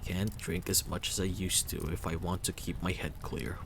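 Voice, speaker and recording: A man voicing a reserved, muscular man near fifty who speaks in a dry, measured, slightly formal way for a story game. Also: low voice, steady tone, creaky, background noise. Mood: dryly amused.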